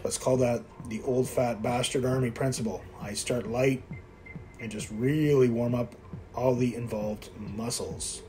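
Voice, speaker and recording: A man's voice: mid-range voice, fairly even intonation, measured pace, darkish tone, faint music behind, low-quality recording. A man in his fifties from Quebec, talking casually while working out in a gym and coaching viewers in a blunt, self-mocking way. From Quebec, Canada. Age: 50s. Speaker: fitness vlogger. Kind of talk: casual workout commentary. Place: indoor gym.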